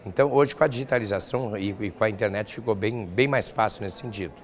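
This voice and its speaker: A man's voice: on the low side, fast talker. Older southern Brazilian man explaining things. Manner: explanatory, illustrates points with examples. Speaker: academic researcher and professor being interviewed. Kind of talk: spoken interview answers.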